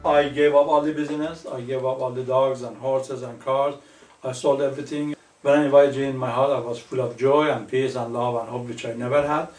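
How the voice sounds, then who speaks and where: A man's voice: medium pitch, steady tone, brisk, a little mellow, recorded from a distance, faint music behind. A man from Iran with an Iranian accent, a native Farsi speaker, giving fervent religious testimony in simple English as an interview guest. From northeastern Iran. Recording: echoey room, away from the mic.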